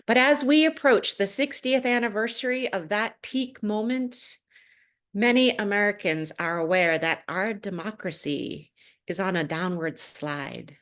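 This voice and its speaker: A woman minister delivering a prepared sermon to a congregation, phrasing deliberately and pausing for emphasis. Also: medium pitch, a bit bright.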